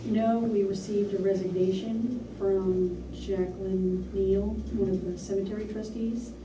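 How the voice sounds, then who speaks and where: A businesslike woman talking through town business in a measured way, often pausing on an um. She sounds far off, in an echoey room. A steady hiss sits under her voice. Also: mid-range voice. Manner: measured, businesslike, with frequent ums. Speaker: town administrator. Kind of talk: reporting at a board meeting. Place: small municipal meeting room. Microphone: far room camera.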